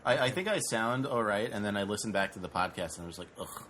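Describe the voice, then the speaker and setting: A man's voice: lowish voice, lively intonation, fast, a bit bright, slight background noise. A man in his thirties hosting a casual podcast, dry and sarcastic, talking in a laid-back way with lots of fillers. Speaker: podcast host.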